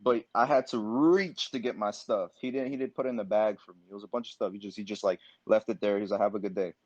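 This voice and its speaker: A man's voice: lowish voice, quick pace, no echo. Streetwise young man telling a story. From Pakistan. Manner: animated, streetwise, says bro a lot. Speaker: podcast guest. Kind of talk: casual storytelling. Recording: dull dry lo-fi sound.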